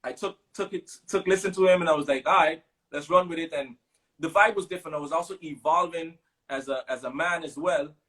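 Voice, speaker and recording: A man in his thirties with a Caribbean English accent from Sint Maarten and a fairly high voice. He talks in a loose, relaxed way, telling stories with lots of fillers as an interview guest. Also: fairly quick, low-quality recording. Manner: relaxed storytelling with many fillers. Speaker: guest musician. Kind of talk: casual interview chat.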